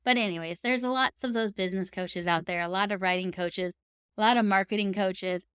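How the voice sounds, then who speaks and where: A woman's voice: medium pitch, fairly quick, fairly bright tone, smooth, no echo. A woman speaking as a podcast host, giving advice in an earnest, conversational and encouraging way. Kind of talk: encouraging advice talk to camera for writers.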